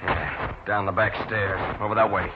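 A deep-voiced, gruff thug played by a man barking curt orders in an old radio crime drama. He sounds bossy and menacing. The dull recording has clear background noise. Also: fairly even intonation, normal speed, lightly acted. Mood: urgent, menacing. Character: gruff bossy adult male hoodlum.

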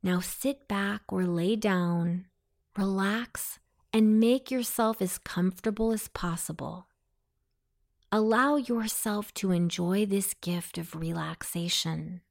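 A woman narrating a guided meditation in a soothing, deliberate, instructing manner. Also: medium pitch, gravelly.

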